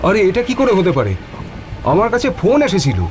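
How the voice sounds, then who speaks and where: A man performs a worried doctor stranded in a cartoon ghost story. The voice is a little high and swings widely, urgent and questioning but polite. Music runs clearly underneath. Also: huge pitch swings, normal speed. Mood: puzzled. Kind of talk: animated horror story acting. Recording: music behind the voice.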